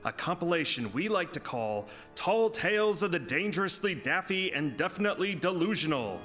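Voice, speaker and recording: Animated male radio announcer. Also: sits high, moderate pace, faint music behind. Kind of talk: theatrical scripted radio show announcing.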